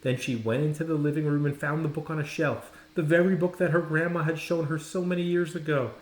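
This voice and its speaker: A man narrating a children's storybook expressively, with dramatic, deliberate phrasing and pauses. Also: a little high, steady tone. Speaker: storybook reader. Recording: roomy, echoing indoor sound.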